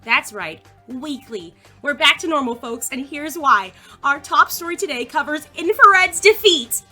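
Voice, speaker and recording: A woman's voice: high-pitched, expressive, a little slow, bright, forceful tone, faint music behind, exaggerated voice. A woman playing a brash, gossipy, bossy teenage girl anchoring a school newscast in an audio drama. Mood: peppy, brash. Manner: peppy broadcast delivery breaking into bossy outbursts.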